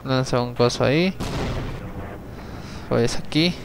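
A young man giving chatty gameplay commentary, talking to himself, swearing at the game and breaking into sudden yells. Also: mid-range voice, slow, gravelly, background noise. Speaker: let's play gamer.

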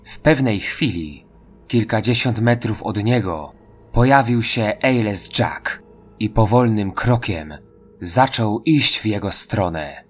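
A man narrating a scripted horror story as an audio drama. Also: lowish voice.

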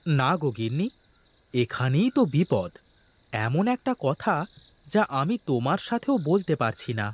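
A man voicing a humble, excitable village boatman in a fairy-tale cartoon, earnest and expressive. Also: fairly high pitch, a little slow, pauses often, husky, exaggerated voice. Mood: evasive, troubled.

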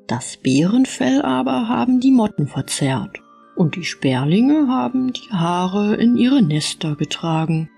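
A woman reading a scripted fairy-tale audiobook as narrator, with expressive storytelling. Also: mid-range voice, measured pace, gravelly, husky, soft background music.

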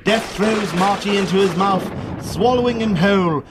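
A man's voice: high-pitched, normal speed, noisy background, close to an everyday voice. A man narrating a scripted radio drama in a theatrical storytelling manner.

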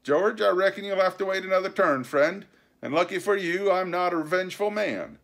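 A wily old pirate ringleader played by a male narrator blusters through a sea adventure story in rough sailors' dialect. He is scornful and commanding, pitched up and louder than plain narration. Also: brisk. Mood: smug, triumphant. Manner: scornful, rustic seafaring dialect.

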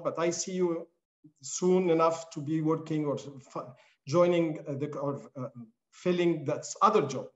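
A middle-aged man from Egypt hosting a video call, answering in a measured, reflective way. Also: fairly high pitch, darkish tone. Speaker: host. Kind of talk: conversational interview answer. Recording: roomy, dull video call audio.

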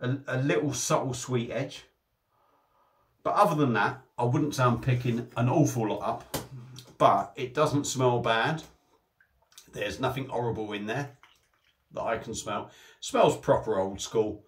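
A man's voice: mid-range voice, a little slow, long pauses. A man, casually reviewing a beer to camera from a small home room on a desk webcam, chatty and prone to restarting his phrases.

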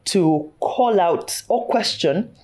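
A woman's voice: on the low side, expressive, mellow. A woman with a Ugandan accent hosting a casual podcast chat in an effusive, exclaiming manner. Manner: effusive, exclaiming, quick to interject. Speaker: podcast host and family therapist. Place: small room with a sofa. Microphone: podcast microphone on boom arm.

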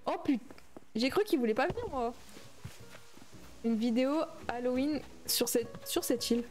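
A woman's voice: high voice, lively intonation, normal speed, darkish tone. Chatty young woman streamer. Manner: chatty, reads and answers viewer chat aloud. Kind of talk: casual livestream chat while playing a game.

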